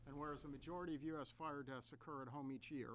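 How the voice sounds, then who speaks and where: An older man reads a formal proclamation in a measured way at a desk microphone in a council meeting room. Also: on the high side, fairly even intonation, a bit bright, slight background noise. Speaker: council member reading a proclamation.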